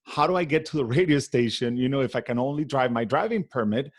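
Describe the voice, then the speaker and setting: A man from Venezuela, a guest in an interview, telling his story in an animated way with many fillers. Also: medium pitch, quick pace, breathy edge. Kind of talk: conversational interview.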